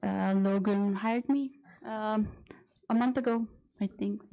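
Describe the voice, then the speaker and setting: A woman's voice: mid-range voice, fairly even intonation, takes it slowly, creaky, mellow, poor audio. A young woman from Nepal, a city planner, introducing herself hesitantly with many fillers. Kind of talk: self-introduction at a meeting.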